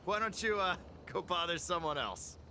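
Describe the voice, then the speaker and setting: A man's voice: high-pitched, lively intonation, normal speed, bright, forceful tone, slight background noise, exaggerated voice. An actor playing a nervous, cowardly young male thief in a video game, stammering and jittery. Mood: evasive.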